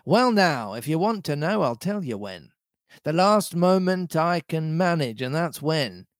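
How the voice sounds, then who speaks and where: A man's voice: on the high side, very animated, a little slow, no echo, clean studio sound. Man acting a scheming, domineering pirate sea cook, Long John Silver. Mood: impatient, boastful. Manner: rustic old seafaring dialect, emphatic and speechifying.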